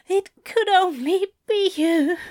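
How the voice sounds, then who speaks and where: A woman's voice: extremely high pitch, lively intonation, takes it slowly, pitched above the performer's own voice, full character voice. A woman voicing an adoring male angel in an audiobook drama, pleading and overwhelmed with emotion. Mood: adoring, moaning. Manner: moaning, gasping, emotional delivery.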